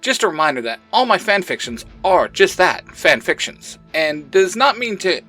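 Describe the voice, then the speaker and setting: A man hosting his own podcast, reading out credits and giving shout-outs. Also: sits high, sing-song, normal speed, soft background music. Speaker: podcast creator and host.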